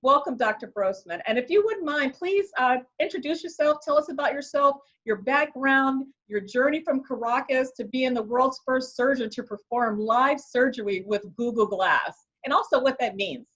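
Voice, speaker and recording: Higher-voiced woman hosting a webinar. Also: normal speed, few pauses, fairly bright tone, muffled recording. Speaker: webinar host. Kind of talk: reading a scripted introduction. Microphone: video call.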